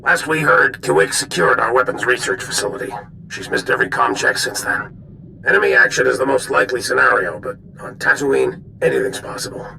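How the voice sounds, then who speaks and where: An actor playing a grave, businesslike military general in a video game, with formal, clipped briefing delivery. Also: male voice, medium pitch, fairly quick, creaky, bright, forceful tone, a little hiss or hum, poor audio. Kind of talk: video game cutscene acting.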